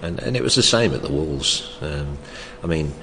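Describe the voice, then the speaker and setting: Very deep, gravelly older man. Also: expressive, moderate pace. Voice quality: loud voice. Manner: hesitant, with many restarts and chuckles. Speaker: interviewee, former soccer coach. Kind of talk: reflective oral history interview. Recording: long reverb, faint background noise.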